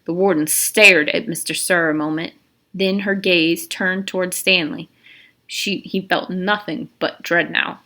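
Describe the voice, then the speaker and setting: A woman's voice: lowish voice, fairly even intonation, unhurried, bright, forceful tone. A woman narrating a novel as a read-aloud, reading expressively with an occasional stumble. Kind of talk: storybook read-aloud with brief asides.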